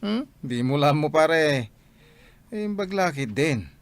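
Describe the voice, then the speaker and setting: A man's voice: a little high, lively intonation, slow, no echo. A man playing a gossipy, teasing male buddy in a radio drama, chummy and speaking with an Ilocano accent.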